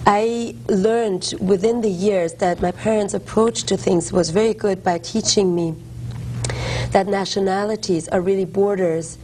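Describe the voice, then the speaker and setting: A woman raised in Germany speaking in a casual talk-show conversation, thoughtful and unhurried, with frequent fillers and restarts. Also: mid-range voice, fairly even intonation, a little mellow, rough recording. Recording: faint background noise.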